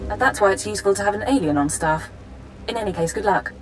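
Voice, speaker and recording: A female intelligence handler in a sci-fi game, played by an actress, brisk and composed. Her mid-pitched voice delivers orders in a cool, businesslike way over faint music. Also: lightly acted, rough recording. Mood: brisk, urgent. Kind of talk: video game character acting.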